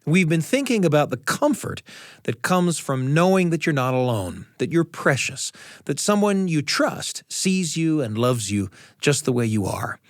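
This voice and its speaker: A man hosting a radio show, telling stories and chatting with deliberate phrasing and dramatic pauses. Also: on the high side, sing-song, no room sound, studio quality. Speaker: radio show host and storyteller. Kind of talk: reflective personal storytelling and hosted studio conversation. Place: radio studio control room.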